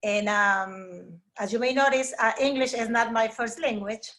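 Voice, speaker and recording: Peruvian-accented woman, fairly high voice. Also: unhurried. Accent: Peruvian Spanish. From Peru. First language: Spanish. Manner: warm and eager, searching for words. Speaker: Spanish teacher. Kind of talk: school open house presentation. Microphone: video call.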